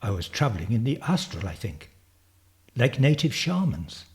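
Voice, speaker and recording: A learned gentleman of an earlier century, read by a male storyteller, puzzled and suspicious. He mutters formal questions in a mid-pitched storybook voice. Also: big pitch swings, moderate pace. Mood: musing. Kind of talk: storybook character reading.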